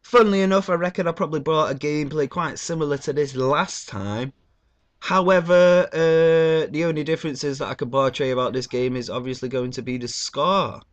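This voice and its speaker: A man's voice: fairly high pitch, brisk. A man giving casual gameplay commentary with a blocked nose, rambling and swearing loosely between fillers. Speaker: gaming YouTuber.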